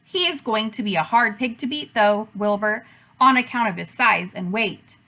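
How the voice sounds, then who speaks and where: A woman voicing a clever, polite, aging female spider with a measured, dry manner in a children's storybook. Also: a little high, sharp tone, rough recording. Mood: candid.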